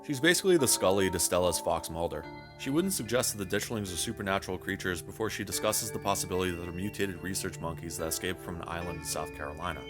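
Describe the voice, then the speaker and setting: A man's voice: low-pitched, quick pace, music in the background. A man reading a scripted game review in a wry, wordy, casually profane way.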